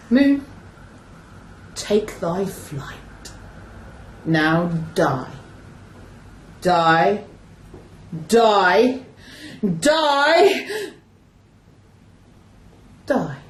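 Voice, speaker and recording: A woman's voice: on the high side, darkish tone, slight background noise. Woman as hammy lovesick hero in a shakespeare radio play, drags every line out, echoey room. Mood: dying, melodramatic. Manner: declamatory, drawn-out, overwrought verse delivery. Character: lovestruck melodramatic male amateur actor hamming a tragic hero.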